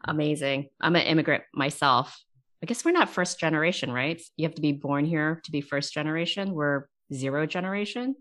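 A woman's voice: low voice, fairly quick, fairly bright tone. A woman hosting a business interview series, upbeat and friendly, who laughs easily. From immigrant, came at age eight. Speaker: interview host.

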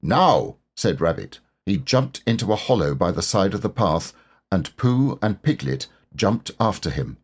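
Male storybook narrator, lowish and husky, hugely varied intonation. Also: sing-song, normal speed, darkish tone. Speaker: storybook reader. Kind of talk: expressive scripted storytelling.

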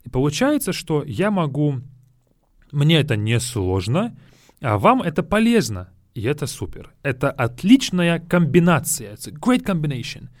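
A man who is a native Russian speaker, hosting a podcast for learners, speaking slowly and repeating words to explain them. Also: on the high side, big pitch swings, dry recording, clean studio sound. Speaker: Russian teacher and podcast host. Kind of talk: slow teaching podcast, Russian with English glosses.

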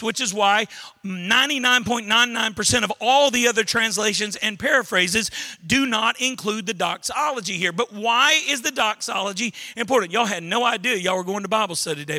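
A man's voice: high voice, sharp tone. A middle-aged man with a Southern accent from Louisiana, preaching a sermon as pastor with folksy jokes, asides and frequent restarts.

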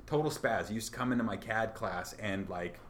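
A man working as a school principal, chatting casually as interviewer, lively and self-deprecating with lots of fillers. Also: fairly low pitch, fairly quick.

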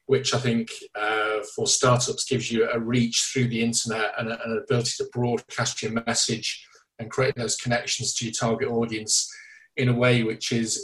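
A man co-hosting a startup podcast, chatting casually with a jokey, self-deprecating manner. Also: mid-range voice. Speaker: podcast co-host and startup founder. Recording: echoey, distant from the mic.

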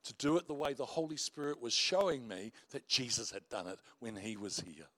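A man's voice: mid-range voice, fairly quick, no room sound. A middle-aged man with a big voice, preaching a sermon as a pastor and telling stories with self-mocking humor. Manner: animated storytelling preacher, warm asides and self-mocking laughs.

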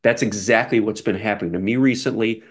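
A man giving an unscripted spiritual energy update as a coach, speaking in a soft, flowing, guiding way with short repeated list phrases. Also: on the low side, fairly even intonation, a little fast, slightly rough.

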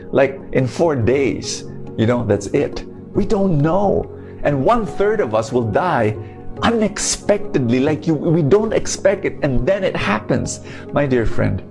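A man's voice: medium pitch, creaky, darkish tone. A man preaching an earnest podcast sermon, often repeating phrases and speaking straight to the listener.

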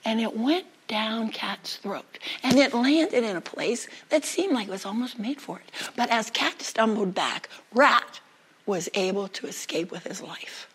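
A woman telling a folktale aloud as a storyteller, with dramatic, deliberate phrasing and pauses. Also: on the high side, expressive, slightly rough, low-quality recording. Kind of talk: live oral folktale storytelling.

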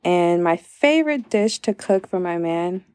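A woman's voice: fairly low pitch, animated, unhurried, a little mellow, smooth, no room sound, clean studio sound. A woman in her thirties from Guyana, a podcast guest chatting in an easygoing, giggly way. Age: 30s. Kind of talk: casual chat.

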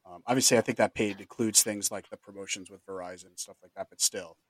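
A man with a lowish voice talks quickly through a casual podcast discussion. His delivery is full of quick fillers as he moves the topic forward. The sound is dry and close, with no room around it. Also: steady tone, no echo. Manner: fast-talking, steering the discussion with fillers. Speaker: podcast host.

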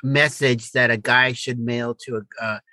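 A man's voice: mid-range voice, flat delivery, moderate pace, sharp tone, dry recording, clean studio sound. A middle-aged man co-hosting a podcast in a casual chat, inquisitively prompting with questions. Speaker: podcast co-host.

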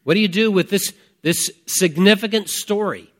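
A man in his fifties preaching a conversational sermon, reflective and emphatic, with deliberate pauses and repeated phrases. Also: high-pitched, a bit bright. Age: 50s. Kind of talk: conversational sermon with personal testimony.

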